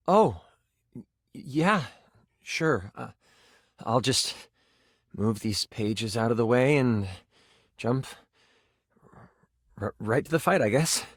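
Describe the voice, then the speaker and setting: An actor playing a nerdy, eager and bashful game master in a video game, with an expressive, theatrical, self-conscious way of talking. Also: male voice, mid-range voice, dry recording, clean studio sound. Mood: bashful, flustered. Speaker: tabletop game master character. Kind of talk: animated storytelling in a dating video game.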